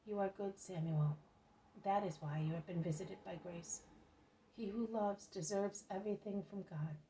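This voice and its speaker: A woman's voice: medium pitch, normal speed, warm tone, a little hiss or hum, lightly acted, rough recording. A woman reading aloud as a grateful male beggar who lives on charity, speaking earnestly.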